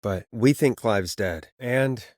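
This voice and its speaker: A man voicing a quick-witted, earnest radio call-in host in a video game, speaking in a conversational, wry way. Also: on the low side, darkish tone, no echo, studio quality. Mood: serious, probing.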